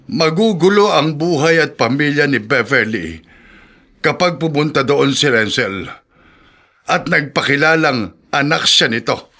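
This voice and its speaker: Filipino radio drama patriarch: stern, worried, emphatic, forceful and fairly high for a man. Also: measured pace, full character voice. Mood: foreboding, alarmed. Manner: grave, questioning, emphatic. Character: stern, foreboding elderly father and grandfather.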